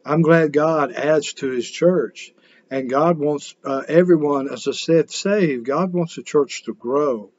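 A man's voice: mid-range voice, a little mellow, highs cut off. A man, a pastor preaching earnestly to a camera at home with some fillers. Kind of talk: sermon. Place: small home room indoors.

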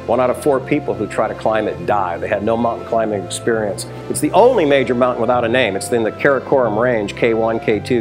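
A man in his fifties, a brewery chief executive, telling stories and answering questions in a sit-down interview in a taproom, gesturing as he talks. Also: mid-range voice. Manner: animated storytelling, hand gestures.

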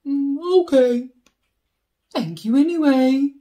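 Woman voicing a forlorn, polite storybook bear, high-pitched. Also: takes it slowly, dark tone. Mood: polite, earnest. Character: big polite forlorn bear searching for his lost hat. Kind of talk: children's picture book read-aloud.

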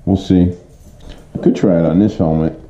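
A middle-aged man with a very dark, lowish voice talks casually through a piece of gear. He sounds plain-spoken and informal. The recording is muffled and roomy, picked up by a camera in a kitchen. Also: fairly even intonation, moderate pace. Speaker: vlogger showing motorcycle gear. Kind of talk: casual product walkthrough. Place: home kitchen, indoors. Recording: muffled, boxy room echo, noticeable hiss.

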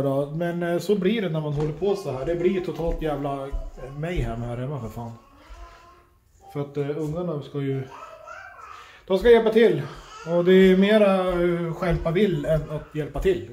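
A middle-aged man chatting casually for a family vlog, informal and sweary, often halting, recorded on a phone at arm's length indoors. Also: fairly high pitch, warm tone. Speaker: family vlogger. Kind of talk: casual handheld vlog chat. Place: indoors at home, rooms and kitchen. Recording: roomy echo, faint household noise.

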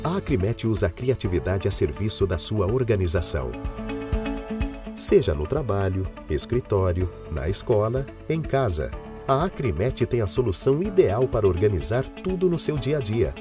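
A man's voice: fairly low pitch, big pitch swings, moderate pace. A man with a Brazilian Portuguese accent reading a polished, persuasive commercial on a studio mic. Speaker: commercial voice-over announcer. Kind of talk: ad voice-over. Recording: clear music bed behind.

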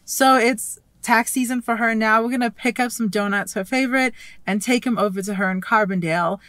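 A woman's voice: fairly high pitch, fairly quick, a bit bright, dry recording. A middle-aged woman riding in a car and chatting to the camera in an upbeat, easygoing way. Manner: chatty, upbeat, laughs easily. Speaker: vlog co-host and passenger. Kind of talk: casual chat to camera. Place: inside a car. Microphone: camera mounted on the dashboard. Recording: clear road noise in a car.

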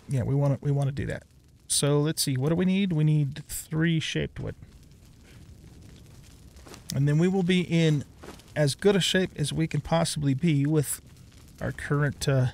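Casting: middle-aged male gamer host, gravelly fry, slightly high pitch, casual rambling commentary. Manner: rambling, thinking aloud. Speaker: let's play host.

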